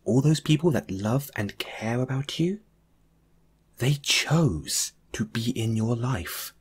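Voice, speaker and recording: A man with a very breathy, husky voice speaks slowly and gently, as in a comfort audio roleplay. His mid-pitched voice rises and falls expressively, slipping toward a whisper, intimate and reassuring throughout. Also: animated. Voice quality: breathy, hushed, at times whispering.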